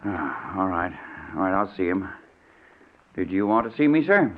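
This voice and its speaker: A man's voice: low-pitched, sing-song, normal speed. An actor playing a jumpy, irritable, blustering man in an old-time radio mystery. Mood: wary. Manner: blustering, hesitant when alarmed, snappish. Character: wealthy, jumpy, irritable man hounded by vengeance. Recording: muffled, tinny old radio recording.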